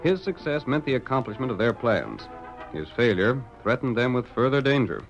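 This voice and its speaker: Dramatic male narrator, lively, muffled 1938 radio. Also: mid-range voice, normal speed. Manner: dramatic, animated. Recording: muffled old radio recording with music.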